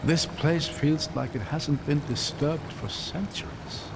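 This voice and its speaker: A man playing a gentle, bookish scholar in a video game, articulate, curious and earnest. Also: on the high side, slow pace, gravelly, noisy background. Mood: curious, enthusiastic. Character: gentle, bookish male scholar, eager and grieving father. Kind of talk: video game cutscene acting. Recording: long cavernous reverb, music behind.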